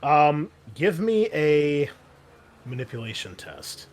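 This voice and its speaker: A man's voice: fairly high pitch, very animated, takes it slowly. Informal, hesitant male game master. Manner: informal, hesitant phrasing with frequent "like". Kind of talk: improvised tabletop game narration.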